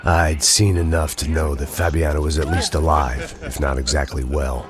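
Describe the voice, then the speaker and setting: An actor playing a cynical, hard-drinking middle-aged ex-cop, narrating a video game in dry noir voice-over. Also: male voice, rumbling low, flat delivery, moderate pace. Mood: grim. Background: game score music.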